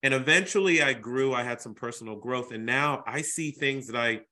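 A man's voice: mid-range voice, normal speed, pushed and bright. A man from Boston hosting a podcast, chatting casually and often repeating words while he finds his phrasing. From Boston, USA. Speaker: podcast host. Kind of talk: casual interview chat.

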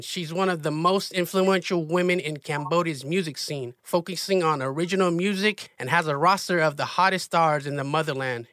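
A man's voice: fairly high pitch, pushed and bright, no room sound, studio quality. A man hosting an interview, chatting casually and upbeat, with lots of fillers. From US Pacific Northwest. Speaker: podcast host.

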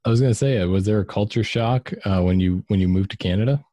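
A man's voice: on the low side, fast, mellow, no echo. A man hosting a podcast, asking friendly questions with lots of fillers. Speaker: podcast host. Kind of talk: casual interview questions.

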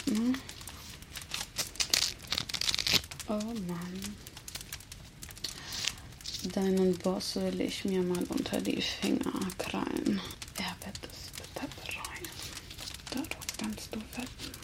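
A woman playing a menacing, mocking female vampire who teases a captive in an ASMR roleplay, sardonic and drawn-out, at times slipping into whispers. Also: mid-range voice, creaky. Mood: quietly threatening. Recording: loud noise and crackle over voice.

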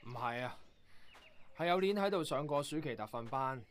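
A man acting in a Cantonese radio drama as an earnest, proud young composer, telling things in a reflective, measured way. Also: mid-range voice, lively intonation, slight background noise, natural voice. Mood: matter-of-fact. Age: young adult.

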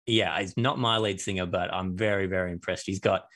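Podcast co-host, somewhat low voice, halting, repeats himself. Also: male voice, fairly even intonation, fairly bright tone. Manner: hesitant, repeats words. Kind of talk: casual chat.

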